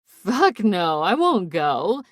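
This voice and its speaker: A woman's voice: fairly high pitch, sing-song, slow pace, no echo, studio quality. A woman voicing a drunk, giggly young former cheerleader rambling dramatically and swearing on the phone in an audiobook. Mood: defiant.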